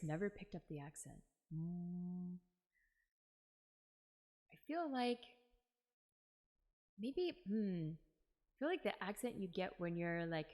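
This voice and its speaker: A woman's voice: on the low side, a little mellow. A woman from Texas streaming a game, chatting casually and giggling often. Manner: chatty, giggly, full of "like" fillers. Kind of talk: casual game-stream chat and reading aloud. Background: game music.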